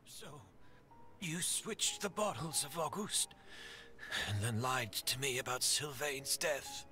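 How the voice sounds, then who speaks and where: An actor voicing a bitter, scheming middle-aged male perfumer in a video game, measured and sardonic, over game music. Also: medium pitch, lively intonation, bright, forceful tone. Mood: cold. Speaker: villain.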